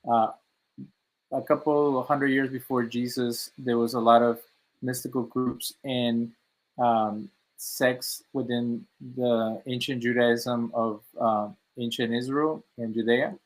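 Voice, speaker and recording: Flat-toned Mexican-born man, halting. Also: medium pitch, low-quality recording. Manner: halting, many fillers and restarts. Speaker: author guest. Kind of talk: interview answers.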